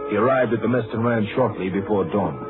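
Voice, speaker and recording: A man's voice: lowish voice, a little fast, over loud music, lightly acted. A man as the solemn narrator of a crime case in a 1950s radio drama. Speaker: story narrator. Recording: tinny old radio recording.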